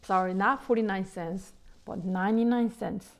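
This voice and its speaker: A middle-aged woman, not a native English speaker, excitedly chatting to viewers about bargain clothes in simple English with many "you know"s. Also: mid-range voice, moderate pace, gravelly. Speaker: vlogger showing a shopping haul. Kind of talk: casual chat to viewers.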